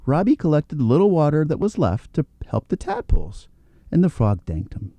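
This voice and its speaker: Very mellow, animated male storyteller. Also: a little high, moderate pace, gravelly, no room sound. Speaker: storybook narrator. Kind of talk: scripted children's story read-aloud.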